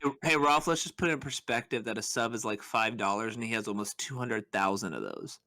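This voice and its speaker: A man's voice: fairly low pitch, animated, sharp tone. A man bantering casually as a co-host, jumping in with short joking remarks. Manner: joking, quick interjections. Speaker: podcast co-host.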